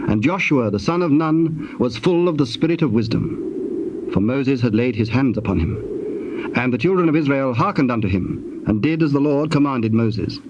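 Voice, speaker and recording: A man narrating scripture in a solemn, measured, scripted manner. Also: mid-range voice. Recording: muffled old tape with clear noise.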